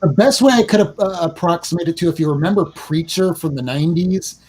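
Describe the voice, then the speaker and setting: A forty-something man, slightly high-voiced, rambling with enthusiasm and the odd curse. Casual podcast talk, with a faint hiss behind him. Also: brisk. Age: 40s. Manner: enthusiastic, rambling, mild swearing. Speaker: podcast co-host. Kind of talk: casual comic book chat.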